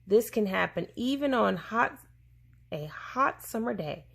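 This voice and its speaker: A woman reading a children's science book aloud to a child in a deliberate, explaining way, pausing to answer questions. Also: mid-range voice, expressive, vocal fry, fairly bright tone.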